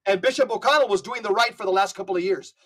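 A man's voice: high voice, fast, a bit bright. A man talks as a podcast guest with fervent emphasis. He pushes his points hard and often restarts a phrase before pressing on. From United States. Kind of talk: conversational podcast talk.

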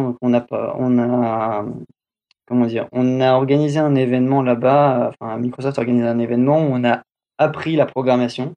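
A man's voice: medium pitch, mellow, muffled recording. A man from France chatting casually as a podcast guest, rambling with many restarts and fillers. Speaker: guest reporting on a trip.

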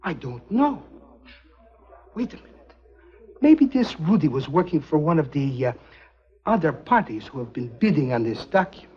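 A greedy, jovial middleman in secrets from a 1950s TV spy drama. His voice is fairly high and very animated, with a slippery, formal way of talking. The old film sound is muffled. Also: moderate pace, pauses often, breathy edge. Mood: protesting, evasive. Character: jovial, greedy European male go-between selling secrets.

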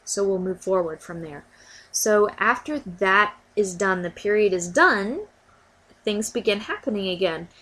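A woman's voice: medium pitch, expressive, a little slow. Slightly stuffy woman podcast host. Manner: warm, informal, repeats words while thinking. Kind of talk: casual educational podcast talk.

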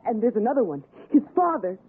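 A woman's voice: on the high side, sing-song, quick pace. An actress playing a frightened, desperate married woman in a radio suspense drama, frantic and pleading. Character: frightened, desperate married woman stranded among hillbilly captors. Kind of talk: 1960s radio suspense acting. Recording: tinny, noisy 1960s radio recording.

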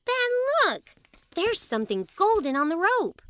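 A woman playing a curious, imaginative young girl in a family audio drama, teasing and excitable. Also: very high-pitched, unhurried, no room sound, heavily acted. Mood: excited, alarmed.

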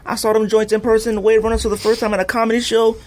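A man's voice: sits high, completely flat delivery, racing. A man hosting a casual podcast chat, talking in an emphatic, opinionated, slangy way. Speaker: podcast host.

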